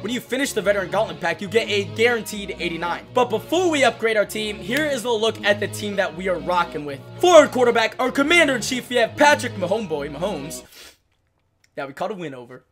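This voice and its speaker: Hoarse, excitable young gaming host. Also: male voice, high-pitched, brisk. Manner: excitable, hyped outbursts. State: hoarse, losing his voice. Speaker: gaming YouTuber. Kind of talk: casual gameplay commentary. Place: small room at a desk. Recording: music playing behind the voice.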